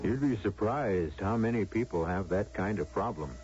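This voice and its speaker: Male radio host, low animated voice, measured dramatic narration, music, muffled old broadcast recording.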